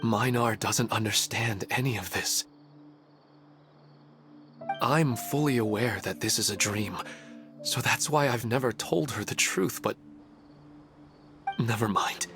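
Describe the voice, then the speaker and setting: A man's voice: on the low side, measured pace, pauses often. An actor playing an earnest, humble husband and father in a video game, plain-spoken and sincere. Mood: wistful, resigned. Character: earnest family man, humble, grateful, wistful husband and father. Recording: music clearly behind the voice.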